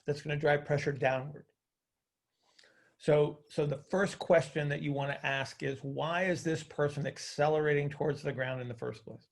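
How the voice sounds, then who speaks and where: A man's voice: fairly high pitch, steady tone, moderate pace. A man coaching on a group call in a conversational, teacherly way, often checking understanding with questions and repeating phrases for emphasis.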